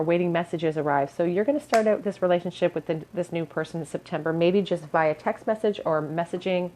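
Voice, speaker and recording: A woman giving a pick-a-card tarot reading in a musing tone, her voice a little hoarse and scratchy, often clearing her throat. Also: deep voice, darkish tone. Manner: musing, hedges with "I feel". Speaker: tarot reader.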